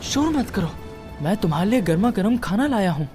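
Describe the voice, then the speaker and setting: A woman's voice: mid-range voice, big pitch swings, a little fast, dark tone, faint music behind, natural voice. A woman voicing a loyal young cabin boy in a cartoon dub, with an eager, sincere manner. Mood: friendly. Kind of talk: Hindi cartoon dub acting.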